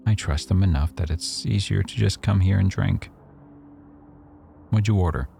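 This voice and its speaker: A man playing a rough-edged mafia boss who owns a cafe, teasing, probing and quietly threatening, in a boyfriend ASMR roleplay. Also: deep voice, moderate pace, slight background noise. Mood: playful, proud. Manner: teasing, probing, smugly self-assured.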